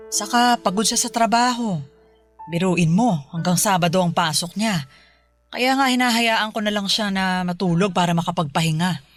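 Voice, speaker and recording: A woman's voice: lowish voice, natural voice. A woman playing a devout Filipino mother in a radio drama, chiding and instructing her child in a motherly way. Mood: patient explaining. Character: devout scolding mother of a teenage daughter.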